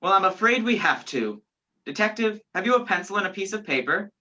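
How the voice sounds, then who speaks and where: A woman's voice: medium pitch, big pitch swings, a little fast, bright, forceful tone. A woman playing a determined, commanding man on the run in a radio play. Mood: insistent, commanding. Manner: urgent, commanding. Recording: dull lo-fi virtual recording.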